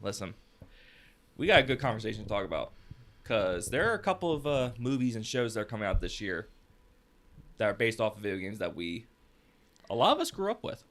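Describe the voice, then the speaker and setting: A man's voice: on the low side, big pitch swings, a little fast, long pauses, fairly bright tone. A man hosting a casual panel chat in an emphatic, jokey way. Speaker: podcast host.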